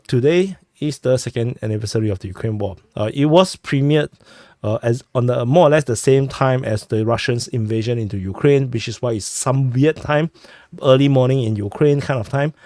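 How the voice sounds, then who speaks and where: A man who is sick, hosting a war update and walking through a map, talking haltingly with many fillers and restarts on a close mic. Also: mid-range voice, mellow, no room sound. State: sick, clearing throat. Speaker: war news host.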